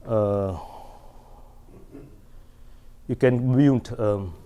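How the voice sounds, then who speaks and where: A man's voice: on the low side, dark tone, smooth. A middle-aged man lecturing on mathematics at a chalkboard in a large hall, with many fillers and restarts. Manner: halting, many restarts and fillers, asks "right?".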